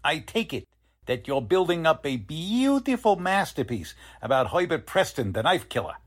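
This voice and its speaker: A man's voice: on the high side, very animated, normal speed. A man playing a cynical, streetwise newspaper photographer with slangy teasing talk in a 1940s-style radio drama.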